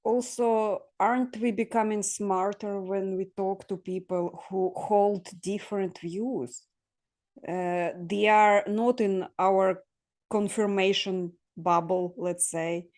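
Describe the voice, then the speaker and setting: A woman's voice: medium pitch, steady tone, slow, darkish tone. A woman hosting a casual discussion, speaking thoughtfully and hesitantly in short, broken phrases. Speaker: host.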